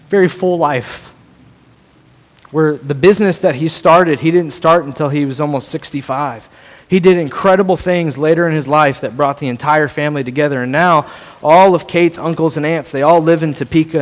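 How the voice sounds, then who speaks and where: A male pastor preaching a sermon, animated and playful, acting out little voices to make his point. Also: fairly high pitch. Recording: long hall reverb.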